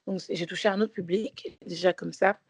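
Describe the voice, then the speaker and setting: A woman's voice: lowish voice, steady tone, moderate pace, a little mellow, slightly rough, dry recording. A woman co-hosting a podcast, telling a story in casual chat with many restarts and fillers. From Belgium. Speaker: podcast co-host.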